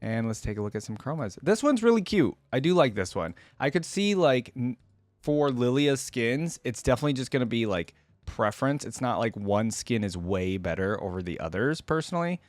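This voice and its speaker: A man's voice: medium pitch, lively intonation, a little fast, no room sound. A man giving casual, enthusiastic reaction commentary as a video game fan on his channel.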